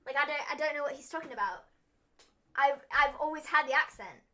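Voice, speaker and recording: Woman doing a bright, higher-pitched British girl, mock-insistent, playful. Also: fairly quick. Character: young woman with a put-on British accent.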